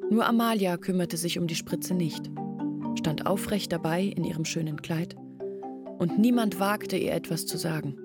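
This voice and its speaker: A woman's voice: on the low side, moderate pace, close to an everyday voice. An actress in a radio play playing a village woman who recounts her family's downfall. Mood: wistful recollection. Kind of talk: radio play monologue, storytelling. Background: music.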